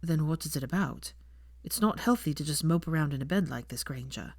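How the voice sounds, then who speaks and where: Woman reader as young male wizard: lowered pitch, drawling, blunt, probing, a little peeved, dry recording. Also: expressive, a little fast, darkish tone, breathy edge, no echo, full character voice. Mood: concerned, peeved. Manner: drawling, low-key, probing.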